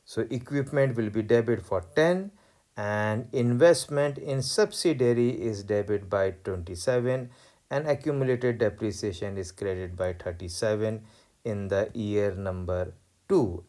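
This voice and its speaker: A man lecturing on accounting, explaining worked exercises step by step in a deliberate way and repeating key points. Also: on the low side, mellow. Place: voice-over recorded over tablet screen.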